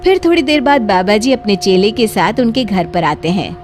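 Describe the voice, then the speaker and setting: A woman's voice: mid-range voice, expressive, normal speed, a little mellow, soft background music. A woman narrating a scripted moral story for children.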